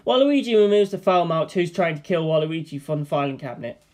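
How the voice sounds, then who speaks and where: A script narrator with a middling pitch reads out a screenplay. The delivery is scripted narration of scene headings and action. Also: animated, fast, darkish tone.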